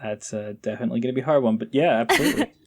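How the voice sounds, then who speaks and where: A man's voice: medium pitch, sing-song, racing. A man in a casual remote chat as a podcast guest and voice actor, agreeable and self-deprecating. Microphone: internet call.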